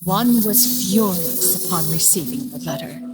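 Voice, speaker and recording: An actress playing a proud, aloof immortal crane adeptus in a video game, speaking in archaic formal phrases and calling herself "one". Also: female voice, lowish voice, lively intonation, a little slow. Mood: stern, recounting. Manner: archaic formal speech, refers to self as "one". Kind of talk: scripted video game dialogue. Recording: studio voice over game music.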